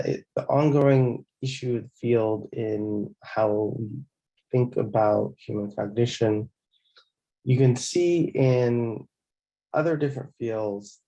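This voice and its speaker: A man's voice: fairly low pitch, warm tone, poor audio. A man giving an academic conference talk, thoughtful and hedging with fillers. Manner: thoughtful, hedging with "you know" and "uh". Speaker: conference speaker.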